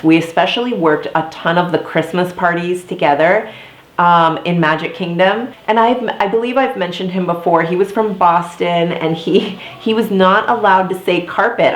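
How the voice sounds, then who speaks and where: Low-voiced, congested woman vlogging. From Florida, US. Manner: chatty, many fillers and asides. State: congested, a bit nasal. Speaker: YouTuber, former theme park performer. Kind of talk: casual talking-head vlog. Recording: echoey room.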